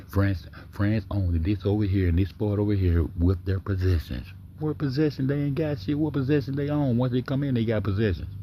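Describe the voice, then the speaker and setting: A man hosting a livestream with a big deep voice, talking low and dropping to a near-whisper as he reads text aloud, then riffing in loose, profane commentary. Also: warm tone, slight background noise. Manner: talks low, often drops to a near-whisper. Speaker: livestream host.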